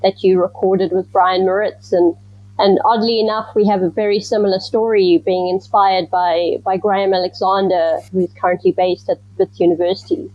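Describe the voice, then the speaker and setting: Woman from zimbabwe answering questions, slow and thoughtful, kinda dull lo-fi sound. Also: medium pitch, little pitch movement, dry recording. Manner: thoughtful, measured, with frequent ums. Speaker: guest researcher. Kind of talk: casual interview answers.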